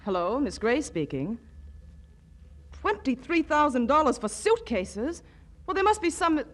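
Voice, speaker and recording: A sensible young sweetheart with a slightly high voice. She sounds earnest, worried and exasperated, in the acting style of forties radio comedy. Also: sing-song, a little slow.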